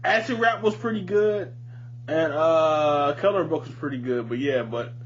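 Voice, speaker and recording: Man, higher-pitched voice, informal music podcast talk, opinionated, swears freely, dull audio with faint hum. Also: normal speed. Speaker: podcast host. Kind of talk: casual music discussion.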